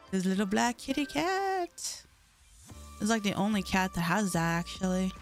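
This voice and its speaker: A woman's voice: medium pitch, vocal fry. A woman game streamer with a slight lisp, chatting casually on a live stream while playing, mumbling and stumbling over her words.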